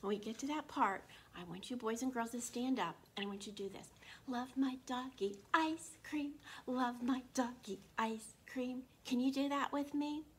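A woman in her sixties with a slightly froggy throat, hosting an interactive read-aloud for young children in an animated, encouraging way. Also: sits high, moderate pace. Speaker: children's storytime host at a library. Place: small indoor room. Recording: constant room noise.

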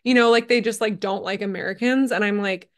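A woman hosts a podcast in a relaxed conversational tone. She sounds friendly and curious, adding her own asides between questions. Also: mid-range voice, a little fast. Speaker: podcast host. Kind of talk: casual interview chat.

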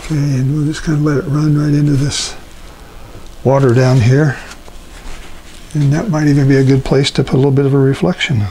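An older man demonstrating a watercolor painting as an instructor, talking himself through each step with frequent ums and pauses. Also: mid-range voice, a little hiss or hum, rough recording. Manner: relaxed, thinking aloud with many ums. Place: art studio at a painting table.

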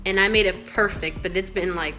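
A woman's voice: mid-range voice, fairly even intonation, brisk, sharp tone, faint music behind. A young woman with a head cold, sounding nasal, chatting casually and swearing freely into a phone held at arm's length at home. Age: young adult. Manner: casual and swearing, mixes in Spanish. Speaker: vlogger. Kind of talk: casual vlog chat. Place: home living room, then a car at night.

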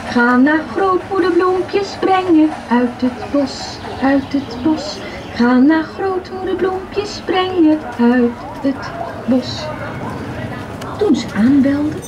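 A woman telling scripted fairy tales in an expressive, drawn-out way, heard as recorded narration played in a park. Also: high-pitched, dull audio, background noise. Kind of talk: scripted fairy tale storytelling, partly sung. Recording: distant park loudspeaker playback, loud outdoor ambience. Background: outdoor forest park ambience.

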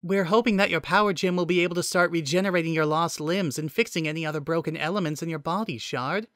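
A man voicing a gentle, polite female AI assistant robot in a story reading, soft-spoken and courteous. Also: fairly high pitch, fast, dry recording, clean studio sound, pitched above the performer's own voice, close to an everyday voice. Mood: polite, calm.

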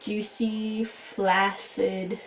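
A woman's voice: medium pitch, flat delivery, drawn-out words, soft background music. Young woman, wry home cooking host, tinny echoey camcorder sound with hiss. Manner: wry, self-mocking, offhand asides. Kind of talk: casual jokey cooking demo. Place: small home kitchen at night. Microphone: fixed camera mic across the kitchen.

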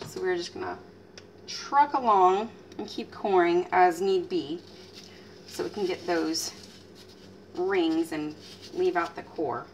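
A woman in her thirties, chatting casually as she demonstrates a recipe in her home kitchen, teasing herself and letting out lively exclamations. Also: fairly low pitch. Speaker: home cooking channel host. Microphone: fixed camera mic at a distance. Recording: distant camera mic with faint room noise.

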